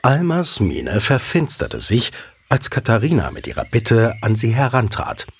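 A man's voice: mid-range voice, wildly swooping pitch, unhurried, slight background noise. A man narrating a scripted audio drama as a storyteller.

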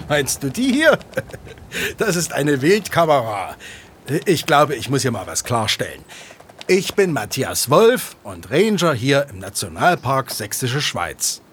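A man's voice: medium pitch, big pitch swings, takes it slowly, fairly bright tone, a little hiss or hum. A man playing a friendly, patient national park ranger who explains things to children in an audio drama. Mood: patient, explaining.

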